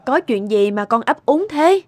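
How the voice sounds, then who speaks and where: Actress as a high-voiced, strict cartoon mother. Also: lively intonation, normal speed, no echo, close to an everyday voice. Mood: stern. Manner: scolding, urgent. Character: strict, anxious young mother of a schoolboy. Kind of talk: cartoon voice acting.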